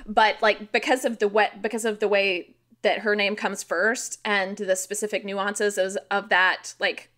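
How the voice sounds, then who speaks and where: Casting: American woman, bright, crisp-edged tone, informal podcast guest, conversational storyteller. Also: medium pitch, unhurried. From rural Missouri, United States. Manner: informal, animated, frequent "like", sweary. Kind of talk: casual conversational storytelling and explaining.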